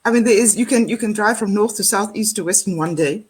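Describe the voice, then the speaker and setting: Husky woman with a Cape Town accent. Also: medium pitch, quick pace. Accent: Cape Town South African. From South Africa. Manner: chatty, animated, laughing often. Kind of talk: casual conversation.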